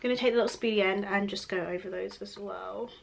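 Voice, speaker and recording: Chatty woman, slightly croaky. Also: mid-range voice, fairly even intonation, quick pace, slight background noise. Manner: chatty and rambling, laughs often. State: croaky from a cold. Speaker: beauty vlogger. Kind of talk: casual makeup tutorial chat.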